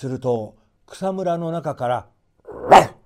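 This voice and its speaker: A man's voice: medium pitch, lively intonation, a little slow, warm tone. A man narrating a folk tale as a storyteller, in a theatrical scripted reading.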